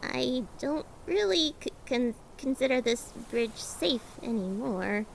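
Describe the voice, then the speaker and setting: A woman's voice: sits high, lively intonation, slow pace, vocal fry, slight background noise, exaggerated voice, poor audio. A woman voicing an anxious, timid monster scientist in an audio drama, apologetic and stammering heavily. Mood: nervous. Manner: nervous, heavy stammer.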